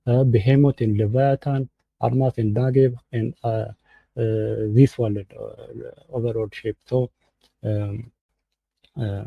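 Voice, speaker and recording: A man from Ethiopia with an Ethiopian accent, giving an informal presentation in halting, hesitant English over a remote call. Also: mid-range voice, steady tone, vocal fry, breathy edge, no echo. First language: Amharic. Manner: halting, hesitant English with frequent searching for words. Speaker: guest presenter. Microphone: remote online call. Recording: tinny, phone-like internet call audio.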